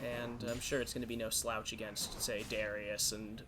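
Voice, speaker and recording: A man casting an esports match with relaxed, hesitant commentary and easy laughter. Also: fairly low pitch, moderate pace. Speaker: esports caster. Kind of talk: play-by-play commentary. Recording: faint background noise.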